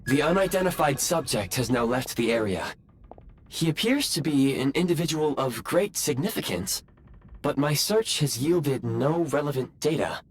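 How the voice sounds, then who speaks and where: A polite, self-aware ancient machine, voiced by an actor in a video game. The voice sits at a middle pitch and moves melodically while staying formal, clipped and analytical. Faint ambient noise sits behind it. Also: animated, normal speed, lightly acted. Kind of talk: robotic video game character acting.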